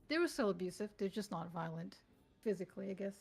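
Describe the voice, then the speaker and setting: A woman's voice: mid-range voice, lively intonation, brisk, slight background noise. A woman whose first language is not English, chatting casually with friends on a gaming stream, giggling often and trailing off mid-thought.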